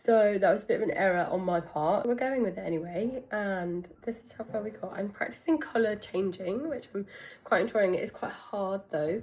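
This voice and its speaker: Young British woman, husky and hoarse, chatty, self-deprecating vlogger delivery. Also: mid-range voice, darkish tone, poor audio. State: hoarse from being ill. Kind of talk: casual vlog chat to camera.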